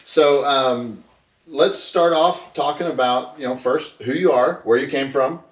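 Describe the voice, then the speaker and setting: A man's voice: mid-range voice, unhurried. A middle-aged man hosting a podcast, talking in an enthusiastic, rambling way with many fillers. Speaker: podcast host. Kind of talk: casual interview chat. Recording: roomy, distant from the mic.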